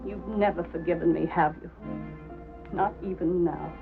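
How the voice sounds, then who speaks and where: A woman's voice: lowish voice, steady tone, moderate pace, vocal fry, over music. An actress playing the anguished, accusing wife of a missing man, pleading and reproachful in an old film drama. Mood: bitter, accusing. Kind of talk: mid-century American film drama acting. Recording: muffled, band-limited old film soundtrack, faint hum.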